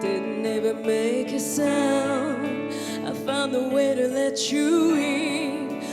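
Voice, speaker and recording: A young woman singing a pop song live as a talent show contestant, over loud backing music. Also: high-pitched, fairly even intonation, drawn-out words, echoey room. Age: young adult. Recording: loud backing music, televised stage performance.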